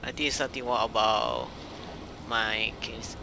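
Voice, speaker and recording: A man who is not a native English speaker, chatting haltingly in broken English while live-streaming a game. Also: big pitch swings, creaky. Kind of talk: casual live-stream gameplay chat. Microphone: uncovered microphone without windscreen. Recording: windy blown mic, loud rumbling noise.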